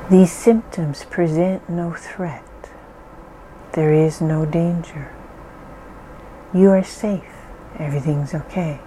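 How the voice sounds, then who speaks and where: A woman slowly leading a calming guided relaxation, reassuring and gentle with deliberate pauses. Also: low-pitched, a little hiss or hum, low-quality recording. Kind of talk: slow calming guided meditation.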